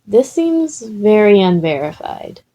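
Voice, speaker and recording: A woman's voice: medium pitch, big pitch swings, slow pace, mellow. A woman voicing a nervous, earnest, studious teenage boy in a story reading, fretful and precise. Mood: anxious, cautious.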